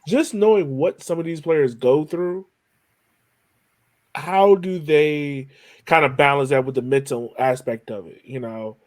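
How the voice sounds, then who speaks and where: A man's voice: on the high side, a little slow, a little mellow, no echo. A man hosting a podcast interview in a casual way, feeling his way through his questions.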